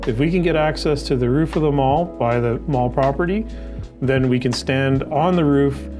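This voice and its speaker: A man's voice: mid-range voice, moderate pace, darkish tone. Man explaining over background music. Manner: conversational, asks and answers own questions. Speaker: drone training instructor. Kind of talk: explanatory tutorial, walking through regulations on screen. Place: indoors in an office by a window. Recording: music playing behind the voice.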